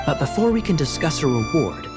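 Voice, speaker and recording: A man voicing a suave, sly young knight captain in a video game, courteous and teasing in a smooth, formal way. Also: on the high side, sing-song, a little fast, loud music behind the voice. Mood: smooth persuasion. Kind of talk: video game cutscene acting.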